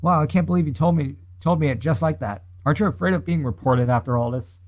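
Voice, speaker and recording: A man's voice: a little high, fast, close to an everyday voice. A man voicing a wary, nerdy hostage inventor who argues dryly in a visual novel. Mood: incredulous. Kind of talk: reading visual novel dialogue and inner thoughts.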